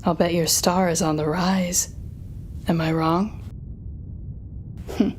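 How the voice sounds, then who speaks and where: Woman acting as a sardonic female supervillain, lowish voice, teasing drawn-out phrasing, smug, audio drama, noisy rumbling track. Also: fairly even intonation, a little mellow. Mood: probing, sly. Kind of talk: intimate audio roleplay drama, speaking to listener.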